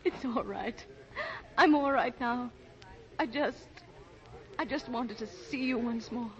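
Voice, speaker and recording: Ailing young woman in love, slow and emotional, 1940s radio melodrama, high voice. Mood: tender, brave. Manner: emotional, theatrical. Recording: muffled 1940s radio recording, crowd behind.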